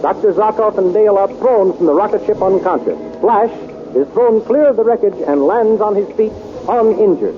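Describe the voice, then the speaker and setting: Man, radio serial narrator, dramatic declamation, high animated voice, hissy lo-fi old recording. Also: normal speed.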